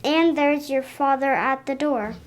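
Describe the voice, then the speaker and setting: A third-grade child voicing Mrs. Cratchit, a gentle grieving mother, in a school radio play. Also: high-pitched, a little slow. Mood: tender.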